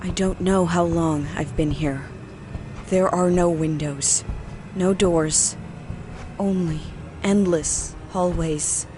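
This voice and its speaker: A woman's voice: lowish voice, steady tone, slow, noisy background. An actress playing a desperate young woman trapped in endless hallways, speaking shakily and defiantly. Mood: weary. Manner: pleading, shaky, defiant.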